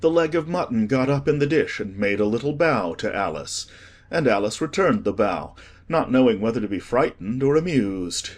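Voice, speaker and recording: An audiobook narrator reading a children's story in a measured, expressive scripted style. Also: mid-range voice.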